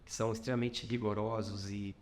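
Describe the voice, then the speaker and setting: A man's voice: on the low side, level tone, a little fast, a little hiss or hum. A man with a Minas Gerais accent, a company founder being interviewed on a podcast, speaking reflectively with many fillers and short clauses. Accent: Minas Gerais Brazilian Portuguese. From Brazil.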